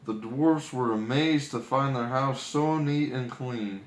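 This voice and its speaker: Slow-paced male storyteller in echoey room. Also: medium pitch. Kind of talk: expressive children's story reading. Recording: echoey room with background noise.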